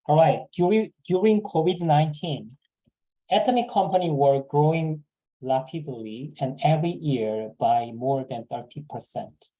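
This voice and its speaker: A man giving a sales seminar talk, halting and repeating words with many fillers. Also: a little high, dark tone, poor audio. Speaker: business presenter.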